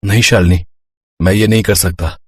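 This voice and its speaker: A man acts a poor young husband from a cartoon moral tale. He is earnest and sensible, explaining himself rather helplessly. The voice sits on the low side and the sound is very dry. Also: steady tone, moderate pace, no room sound. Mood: firm. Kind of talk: moral-story cartoon dialogue.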